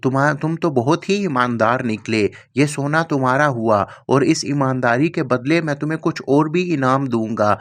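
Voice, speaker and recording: A man's voice: medium pitch, close to an everyday voice. A man voicing a generous, hearty rich landlord in a cartoon story.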